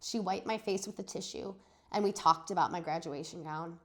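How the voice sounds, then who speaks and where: A woman teacher giving an expressive read-aloud of a children's story, acting out the dialogue. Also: lowish voice, normal speed. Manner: animated, dramatizes dialogue. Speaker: teacher reading a chapter aloud for students.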